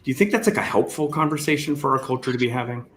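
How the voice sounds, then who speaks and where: A man's voice: medium pitch, lively intonation, rapid-fire, a bit bright, slight background noise. A man hosting a casual live interview over an online call, feeling his way through questions in a hesitant, rambling manner. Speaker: interview host.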